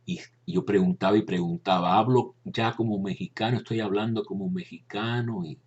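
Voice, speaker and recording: An older man with a Cuban accent talks slowly through his memories, pausing and restarting often. His voice is low and husky. The recording sounds dull and lo-fi. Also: steady tone, darkish tone. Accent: Cuban Spanish. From Cuba. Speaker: former Dominican friar recounting memories. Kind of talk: unhurried personal reminiscence, showing photos.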